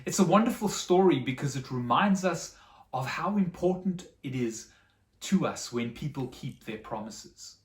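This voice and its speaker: A woman telling a story to children in a dramatic, expressive way, with simple explanations. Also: deep voice, moderate pace. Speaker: storyteller for a children's church show. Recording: echoey room, slightly distant mic.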